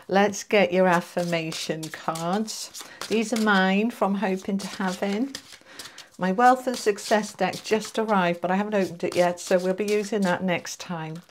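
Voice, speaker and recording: A woman's voice: medium pitch, slight background noise. A woman doing a casual tarot reading to camera. She sounds congested from allergies and chats with her viewers in a friendly, reassuring way. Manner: chatty, reassuring, addresses viewers as guys. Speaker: tarot reader.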